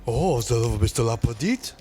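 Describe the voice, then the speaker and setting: A man's voice: mid-range voice, expressive, normal speed, music in the background. A man co-hosting a podcast, joking around and trying out silly accents in casual chat. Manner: playful, laughing, hamming up silly accents. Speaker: podcast co-host.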